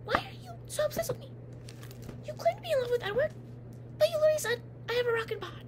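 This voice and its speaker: A woman's voice: extremely high pitch, expressive, fast talker. A young woman playing a haughty, jealous teen vampire girl in a comedic roleplay, blunt and sarcastic with whispered asides, recorded on a webcam in a dim bedroom. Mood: defensive. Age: young adult. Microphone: webcam at close range. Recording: noisy home webcam audio.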